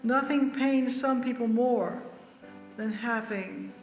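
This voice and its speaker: A man's voice: very high voice, slow pace. A man reading quotations aloud as a church member. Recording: echoey hall, music underneath. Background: music.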